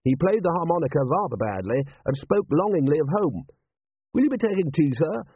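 A man's voice: a little high, big pitch swings, a little fast, breathy edge, no room sound, pitched above the performer's own voice. A man voicing a formal, unflappable butler turned soldier, deferential and precise in an audiobook. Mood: solemn, proper. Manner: polite, precise, very proper servant's diction. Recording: thin, band-limited, phone-like sound.

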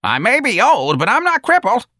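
A man voicing a very old, senile, cranky woman with a croaky, piping voice, in an audiobook story. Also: very high voice, very animated, a little slow, pushed and bright, no echo, studio quality, voice pushed up, heavily acted. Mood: wild, irritable. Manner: indignant, wild outbursts.

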